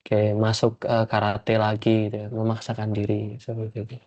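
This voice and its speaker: A man's voice: on the low side, completely flat delivery, moderate pace, darkish tone. A man with a stuffy nose from a cold, a professor giving rambling congratulatory remarks in an online meeting, full of tag fillers. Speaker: professor and supervisor. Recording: dull lo-fi call audio.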